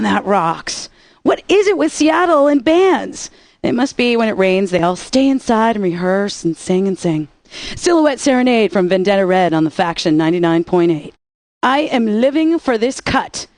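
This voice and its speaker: A woman's voice: a little high, darkish tone, no room sound, natural voice. An actress playing a wry, upbeat alternative-rock radio DJ woman in a video game, introducing songs with sarcastic patter.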